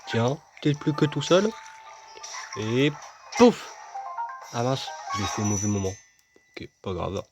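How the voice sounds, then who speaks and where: A man's voice: mid-range voice, sing-song, slow pace, pauses often, over music. A man casually commenting as he plays a video game, joking and chatting with himself and his viewers. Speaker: gaming video host. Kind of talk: casual let's-play commentary.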